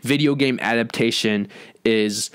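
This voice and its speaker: A man's voice: mid-range voice, a little slow, clean tone, no echo, studio quality. A young man hosting a casual film discussion with friends, talking in an informal, slangy way. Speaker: podcast host.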